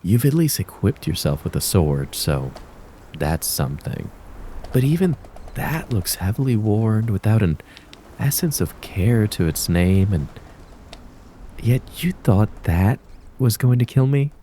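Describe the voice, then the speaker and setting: A man voicing a menacing, mocking kitsune fox spirit in an ASMR roleplay, taunting and theatrical with a drawn-out predatory purr. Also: on the low side, very animated, warm tone, a little hiss or hum. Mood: scornful amusement.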